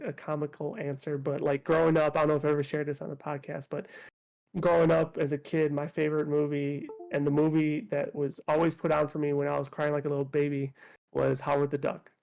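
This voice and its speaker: A man's voice: fairly high pitch, vocal fry, darkish tone. A man co-hosting a casual podcast chat, hesitant and rambling, with lots of fillers. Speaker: podcast co-host.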